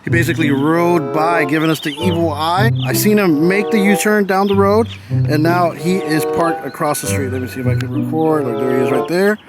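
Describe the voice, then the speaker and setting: A man giving casual, excited vlog commentary as host of a car audio channel, with an informal, slangy, hyped-up delivery. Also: fairly high pitch, fairly quick, over loud music.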